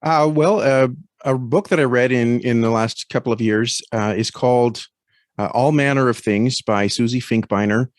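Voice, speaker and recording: A man's voice: medium pitch, normal speed, dry recording, clean studio sound. A man talking casually as an interview guest, thoughtful and often searching for words. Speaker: podcast guest.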